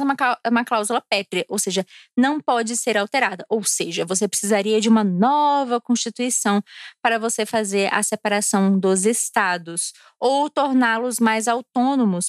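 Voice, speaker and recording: Uma mulher de Brasília falando de forma casual e cheia de rodeios num vlog, um pouco alegre depois de beber. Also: female voice, mid-range voice, no room sound, clean studio sound. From Brasília, Brazil. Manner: chatty, digressive, with frequent fillers and restarts. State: a little tipsy from drinking. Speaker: vlogger.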